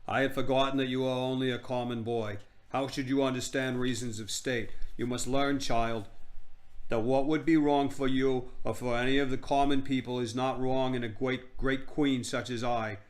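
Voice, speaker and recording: A man's voice: medium pitch, little pitch movement, normal speed, natural voice. A man voicing a grand, evil storybook queen, imperious and hammy, with a Boston accent. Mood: imperious, lofty. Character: haughty grand evil witch queen, theatrical. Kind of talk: storybook character voice.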